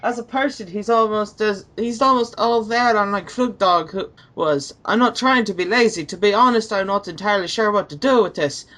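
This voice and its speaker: A man's voice: high-pitched, moderate pace, hardly any pauses, bright, forceful tone. A man reading online comments aloud and discussing them as a co-commentator.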